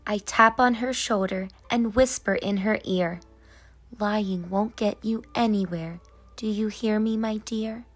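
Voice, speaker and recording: A woman reading as a busy, whimsical, gently scolding tooth fairy in a children's storybook, in rhyme. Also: medium pitch, steady tone, unhurried, soft background music, lightly acted. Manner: sing-song rhyming delivery. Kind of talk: children's storybook narration in character.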